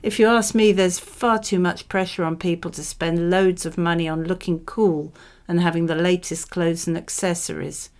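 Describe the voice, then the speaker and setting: A woman reading scripted model answers for a speaking exam in a measured, expressive way. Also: fairly low pitch, clean tone.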